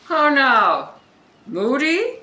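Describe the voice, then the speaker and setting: A woman's voice: high voice, very animated, extremely slow, pushed and bright, heavily acted. A woman playing an imperious sorceress who commands a spirit, grand and declamatory in a Shakespearean audio drama, recorded at home in a roomy space. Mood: indignant. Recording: roomy online home recording, background noise.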